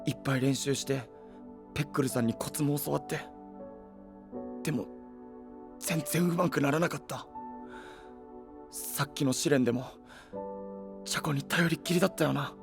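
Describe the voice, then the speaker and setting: An actor playing an earnest, self-doubting young knight in an anime voice drama, with hesitant, boyish, casual speech. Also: male voice, mid-range voice, steady tone, normal speed, creaky, long pauses, over music, lightly acted. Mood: downcast. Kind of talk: Japanese anime voice drama acting.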